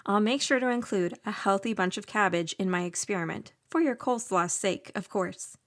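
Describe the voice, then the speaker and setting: Young innkeeper heroine, played by a woman, scripted first-person storytelling, measured and gentle, earnest botany graduate. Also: mid-range voice, natural voice. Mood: warm, pleased. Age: young adult.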